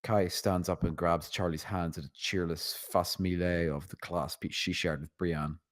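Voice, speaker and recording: A man's voice: low-pitched, fairly even intonation, measured pace. A man narrating scene directions from a screenplay at a table read. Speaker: narrator reading stage directions.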